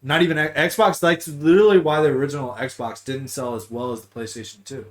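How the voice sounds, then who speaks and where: A man's voice: a little high, fairly even intonation, fairly quick. Casual, slangy man hosting a podcast. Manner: slangy and informal, swears freely. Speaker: podcast host. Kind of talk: casual gaming talk show chat.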